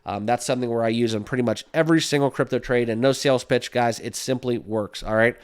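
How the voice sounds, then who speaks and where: Upbeat man with a cold, smooth voice. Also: medium pitch, fast. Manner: upbeat, full of fillers, keeps asking "right?". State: has a cold, sniffling. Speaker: crypto YouTube host. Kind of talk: casual talk to camera.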